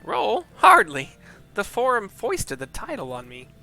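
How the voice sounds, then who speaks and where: A man's voice: high-pitched, wildly swooping pitch, unhurried, bright, forceful tone, soft background music, voice pushed up, heavily acted, poor audio. A man voicing a crotchety old sorceress for a game, sardonic, brusque and imperious.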